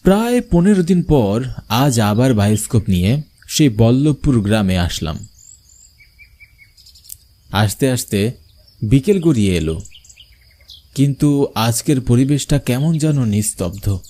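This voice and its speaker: A man playing a travelling bioscope showman, narrating an eerie cartoon story in the first person with expressive delivery. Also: medium pitch, measured pace, pauses often. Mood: storytelling. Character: travelling village bioscope showman telling his own eerie tale.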